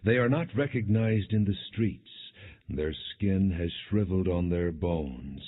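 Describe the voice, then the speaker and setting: A man narrating an audiobook, reading scripture in a solemn, measured and dramatic way. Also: deep voice, lively intonation. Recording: tinny phone-like narrow-band sound.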